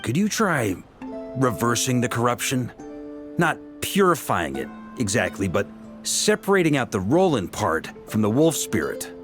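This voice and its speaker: An actor playing a composed, scholarly young male knight in a game, calmly explaining things. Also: medium pitch, moderate pace, lightly acted. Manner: calm, articulate explainer. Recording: clear game music behind.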